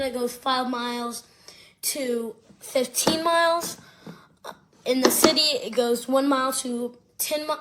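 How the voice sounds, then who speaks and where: A woman giving a casual spoken product review and demonstration, hesitant and often repeating herself. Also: fairly high pitch. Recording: faint background noise and clicks.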